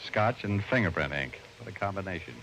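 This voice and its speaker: Breezy american private eye, low voice that swings around a lot, teasing, noisy old radio recording. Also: male voice, expressive, fairly quick, fairly bright tone. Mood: smooth, flirtatious. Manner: glib, teasing, wry. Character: wisecracking flirtatious male private detective, breezy and teasing. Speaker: private detective and narrator. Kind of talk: 1940s-50s American radio detective acting.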